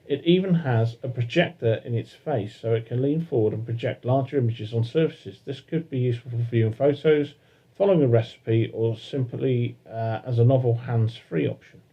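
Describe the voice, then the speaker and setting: A man co-hosting a casual chat show, reading articles aloud with hesitant pauses. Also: mid-range voice, slightly rough, lo-fi sound. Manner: hesitant, fillers while reading. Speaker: podcast co-host.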